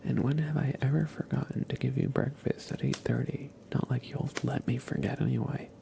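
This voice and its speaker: A man hosting an ASMR livestream, chatting casually and gently to viewers in a soft breathy whisper, close to the microphone. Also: medium pitch, steady tone, a little fast, background noise. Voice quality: breathy whisper with heavy fry.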